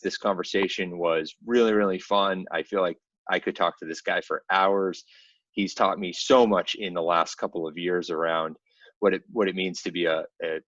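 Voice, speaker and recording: A man's voice: low voice, a bit bright, no room sound, dull audio. A man hosting an interview podcast in an upbeat, conversational style.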